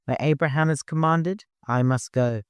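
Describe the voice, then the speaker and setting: A man's voice: a little high, normal speed, clear, resonant tone, dry recording, natural voice. A man voicing a dutiful, serious caravan leader with an earnest, courteous manner in an audiobook. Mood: resigned. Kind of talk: audiobook character voice.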